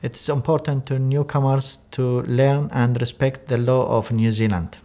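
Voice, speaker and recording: A man from Iraq reading scripted lines for an information video in a measured, serious way. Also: medium pitch, steady tone, dark tone. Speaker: refugee speaker in an information video. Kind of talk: scripted narration.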